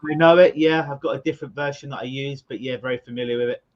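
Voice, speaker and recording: A man's voice: mid-range voice, fairly even intonation, dull audio. A man from England with a London accent, a hypnotherapist guest talking casually and joking in an interview over a remote call. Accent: London English. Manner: joking, chatty, quick asides.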